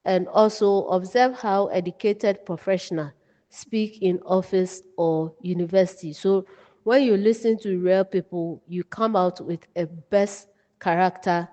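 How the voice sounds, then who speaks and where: A woman teacher delivers a lesson at a slow, deliberate pace. She breaks her points into short, instructive phrases. Her voice rings with long reverb. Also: on the low side, mellow, highs cut off. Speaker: writing teacher. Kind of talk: lesson narration with read-out examples.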